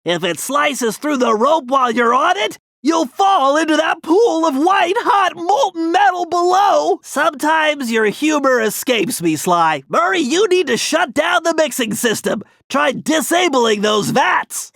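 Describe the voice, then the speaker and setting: A man's voice: very high voice, vocal fry, pushed and bright, no room sound, studio quality, exaggerated voice. A man voicing an earnest, nerdy turtle tech genius in a video game, giving precise, urgent mission instructions. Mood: anxious, instructive. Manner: precise, technical, urgent briefing delivery.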